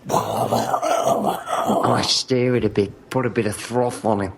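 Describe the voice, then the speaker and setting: A man's voice: on the low side, fairly even intonation, slow, vocal fry, a little hiss or hum. A man voicing a smarmy, lecherous action-movie villain in a joking parody, with an insinuating, needling manner. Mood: smug.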